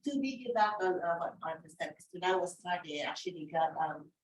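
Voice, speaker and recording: A woman's voice: fairly low pitch, lively intonation, a little fast. Tagalog-speaking woman heard from across a room. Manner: eager, loosely phrased. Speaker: student agent. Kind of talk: sharing in class discussion. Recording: distant echoey classroom with faint noise.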